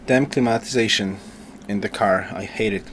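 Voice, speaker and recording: A man thinking aloud as he commentates a strategy game, hoarse because he is losing his voice, rambling and restarting his sentences. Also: lowish voice, normal speed, a bit bright, a little hiss or hum. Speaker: gameplay commentator. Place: home.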